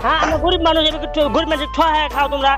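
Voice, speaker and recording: A man's voice: very high voice, flat delivery, rapid-fire. A man making a prank call, arguing insistently and complaining in a repetitive, pleading way over music. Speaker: prank caller.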